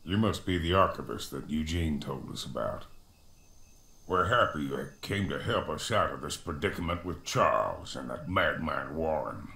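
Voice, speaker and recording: Deep, animated, welcoming farmer. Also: male voice, moderate pace, gravelly, full character voice. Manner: welcoming, plainspoken. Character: friendly, hearty male farmer helping a newcomer. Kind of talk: video game character dialogue. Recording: roomy echo, faint noise.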